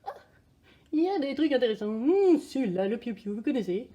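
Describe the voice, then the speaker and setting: A woman playing a childish, excitable, self-pitying character who talks to themselves in slang in a playful children's sketch. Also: a little high, fairly quick, echoey room, noisy background. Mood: busy, curious. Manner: exaggerated, slangy, talking to self.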